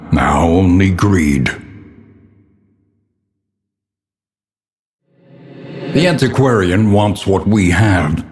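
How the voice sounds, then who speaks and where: Gothic horror game narrator, very low voice, solemn, theatrical, brooding, long cavernous reverb. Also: male voice, darkish tone. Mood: grim, foreboding. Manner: solemn, ornate, theatrical delivery. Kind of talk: scripted dramatic video game narration.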